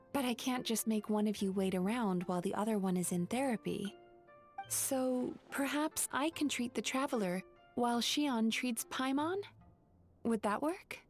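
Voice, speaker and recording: A woman's voice: on the high side, darkish tone, lightly acted. A woman playing a polite, composed young spirit hostess and therapist in an anime game, reassuring and courteous. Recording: music playing behind the voice.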